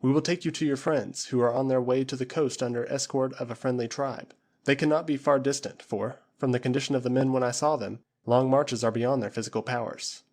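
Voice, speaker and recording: A noble lord of the jungle in a classic adventure novel, composed and sure of himself, as a male narrator reads him. He speaks in a steady, measured manner with quiet authority. The delivery stays low-key and close to the reader's natural voice. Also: natural voice. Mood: calm. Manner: calm, measured, commanding. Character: calm noble jungle lord, grown man.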